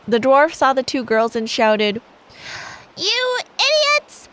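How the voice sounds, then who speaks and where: Slow, higher-voiced woman telling bedtime stories. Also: clear, resonant tone. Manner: sweet, playful, unhurried. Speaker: ASMR performer playing a girlfriend. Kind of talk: ASMR bedtime storytelling with playful asides. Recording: faint rain noise behind. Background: soft rain sound effect.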